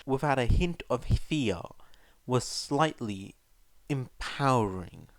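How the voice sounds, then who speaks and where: A man's voice: mid-range voice, expressive, takes it slowly, no room sound. A man reading scripted fiction aloud as an audiobook narrator, on a close microphone.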